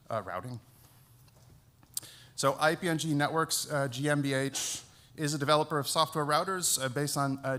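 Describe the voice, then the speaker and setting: A Dutch man with an American-sounding English accent giving a relaxed, self-deprecating technical talk at a conference. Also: mid-range voice. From Netherlands. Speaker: conference speaker. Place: conference hall.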